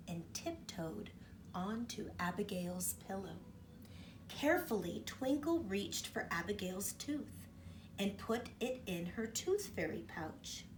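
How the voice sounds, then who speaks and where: A woman's voice: mid-range voice, far from the mic, background noise. A woman teacher reading a storybook aloud to children, stopping often to ask them questions. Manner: expressive, asks the children questions. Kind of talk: storybook read-aloud for kids.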